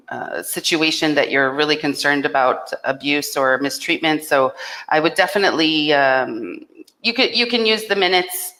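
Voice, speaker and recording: A woman's voice: low-pitched, fairly bright tone. A woman co-hosting a casual live Q&A and explaining things in a matter-of-fact way, recorded with a long room echo. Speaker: co-host answering technical questions.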